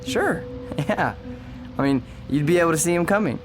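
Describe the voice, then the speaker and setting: A man's voice: on the high side, animated, moderate pace, close to an everyday voice. Actor as placating policeman over music. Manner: hesitant, placating, conversational. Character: reassuring, injured policeman humouring an old woman. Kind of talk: radio drama acting, naturalistic.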